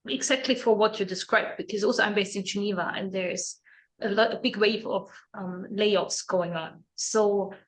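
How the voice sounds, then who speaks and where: A woman's voice: mid-range voice, fairly bright tone, low-quality recording. A woman hosting a podcast interview, curious and asking long, open questions. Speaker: podcast host, coach. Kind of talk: conversational interview.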